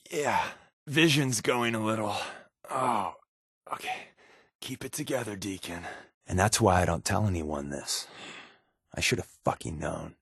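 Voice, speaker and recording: An actor playing a wisecracking undercover agent in a post-nuclear wasteland, speaking with wry, sarcastic quips as video game companion lines. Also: male voice, mid-range voice, fairly bright tone, dry recording, clean studio sound. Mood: woozy, weakening, then guarded, wry.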